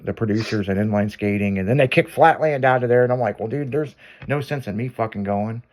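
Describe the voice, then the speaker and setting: A man's voice: mid-range voice, brisk, a little mellow. A male radio host talking loosely on air, his sentences wandering and trailing off into "so yeah." He swears freely and rambles in an everyday middle voice, laid-back and unscripted. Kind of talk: casual on-air chat.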